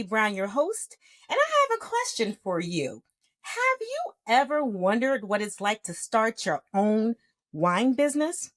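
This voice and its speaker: A woman's voice: a little high, no echo, studio quality. A middle-aged woman, a nurse practitioner, hosting a podcast with a formal, enthusiastic scripted intro and then interviewing a guest. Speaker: podcast host.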